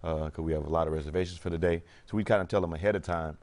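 Casting: American man, forties, deep voice, very rapid delivery, casual panel guest. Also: steady tone, darkish tone. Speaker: restaurant owner guest. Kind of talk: casual explanatory panel talk. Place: TV studio.

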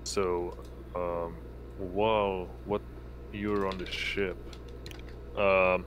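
A man acting as game master in an online tabletop role-playing game, narrating in a halting, hesitant way. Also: sits low, flat delivery, background noise. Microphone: online voice call.

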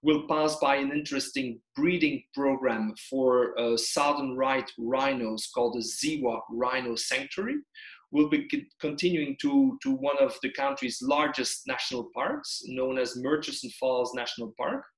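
A man's voice: mid-range voice, lively intonation, moderate pace. A man from Belgium who grew up in the Congo, a tour leader giving a slideshow presentation of a trip, with frequent hesitant fillers. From Belgium, raised in Congo. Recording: echoey room on webcam.

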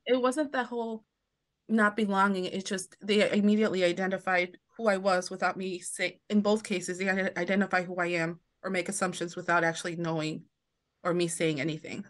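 A woman's voice: on the low side, fairly even intonation, brisk. A woman guest on a podcast, talking at length in a casual, storytelling way. She restarts her sentences often and slips into the voices of the people she quotes. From United States. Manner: chatty, many restarts, acts out quoted voices. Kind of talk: casual interview chat.

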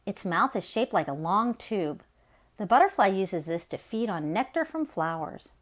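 Expressive middle-aged woman reading aloud. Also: medium pitch. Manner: expressive, deliberate storytime delivery. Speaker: story time host, garden educator. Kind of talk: read-aloud of a children's nonfiction picture book.

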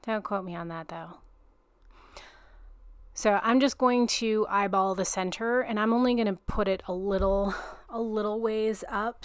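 A woman hosting a card-making tutorial, talking through each step in a relaxed, chatty way with a self-deprecating touch. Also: medium pitch, clean tone, dry recording. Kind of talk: step-by-step craft tutorial narration.

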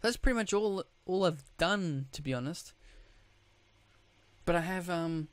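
A man with a croaky, just-woken-up voice, giving casual gameplay commentary, rambling with restarts and throat clearing. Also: high voice, expressive, measured pace, no echo. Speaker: gaming YouTuber.

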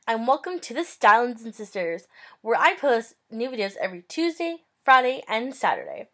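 A young woman telling a story to her webcam in a casual, upbeat vlog, gesturing as she talks from a small bedroom. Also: a little high. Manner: chatty, upbeat, animated hand gestures. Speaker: vlogger. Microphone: webcam at close range.

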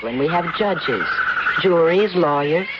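A woman's voice: low voice, animated, slow. An actress playing an earnest, inquiring woman from a modern country in a 1970s radio drama. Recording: dull, very noisy vintage radio recording.

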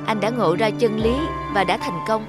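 A woman acts a kind young female florist in a fairy-tale cartoon. The voice is gentle and courteous, at an ordinary female pitch, with clear music behind it. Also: normal speed, natural voice. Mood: happy, admiring.

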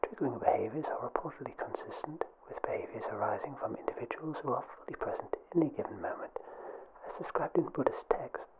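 A young man narrating a scripted ASMR information film in a soft, breathy whisper with a formal, deliberate, mock-official delivery. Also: medium pitch, lively intonation, dull audio. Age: young adult. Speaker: ASMR video creator, film presenter.

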